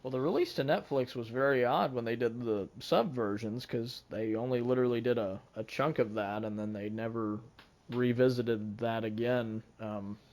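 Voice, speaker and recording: A man with a medium-pitched voice chats as a podcast panelist. His delivery is casual and measured, a little halting as he builds each thought while he goes. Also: a little mellow.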